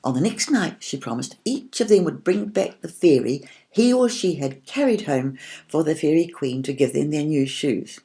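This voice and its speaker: Female storybook reader: low-pitched, soft dark tone, creaky edges, expressive delivery of a scripted children's tale. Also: normal speed. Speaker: audiobook reader.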